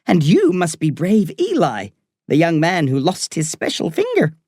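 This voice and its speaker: A man voicing a kindly, cheeky Irish woman doctor in her late fifties for an audiobook, brisk and playful. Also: medium pitch, wildly swooping pitch, darkish tone, slightly rough, dry recording, studio quality, voice pushed up. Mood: playful, encouraging. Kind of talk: audiobook character voice.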